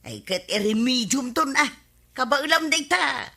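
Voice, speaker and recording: A woman's voice: sits high, animated, measured pace, vocal fry, sharp tone, exaggerated voice. A woman with an Ilocano Filipino accent playing a nagging, practical village wife in a radio comedy drama. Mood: scheming.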